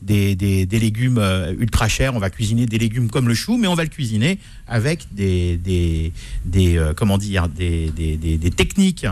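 A man's voice: fairly low pitch, big pitch swings, normal speed, darkish tone. A man co-hosting a food radio show as critic, repeating words and stammering while he thinks.

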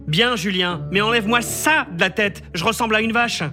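A man's voice: high-pitched, fairly quick, sharp tone, soft background music. A man voicing a grumpy, bossy male dragon that has come alive in a child's drawing, speaking in an indignant, demanding way. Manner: indignant, demanding, rhymes names.

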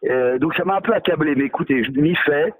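A man's voice: on the high side, fast talker, no echo. A male novelist in a radio interview, talking hesitantly with many fillers and restarts, mocking himself. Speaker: novelist guest.

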